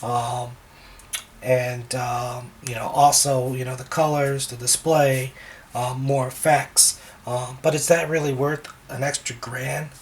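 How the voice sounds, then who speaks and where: Slightly hoarse man rambling about DJ gear. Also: mid-range voice, takes it slowly. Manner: relaxed and rambling, many ums and restarts. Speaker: wedding DJ reviewing gear. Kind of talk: casual gear review.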